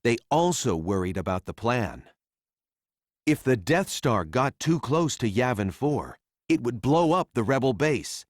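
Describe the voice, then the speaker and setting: Guy narrating a read along book for little kids, takes his time, really expressive pitch, dry close sound. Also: dry recording. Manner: simple, deliberate storytelling for young readers. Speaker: storybook narrator.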